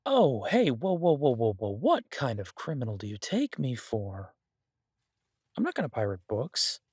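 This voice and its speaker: A man's voice: mid-range voice, wildly swooping pitch, a little slow, darkish tone, slightly rough, dry recording, heavily acted. A man playing a doting, restless mafia boss in an audio roleplay, talking conversationally with theatrical exasperation. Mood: mock offended. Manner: conversational, exasperated, swearing, playfully dramatic. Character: male mafia boss, doting, restless, stuck baking in a safe house. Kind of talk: audio roleplay, one side of an intimate phone conversation.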